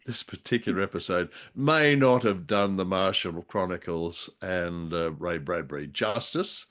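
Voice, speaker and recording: Low-pitched man, measured co-host. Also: fairly bright tone. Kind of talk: conversational radio drama review.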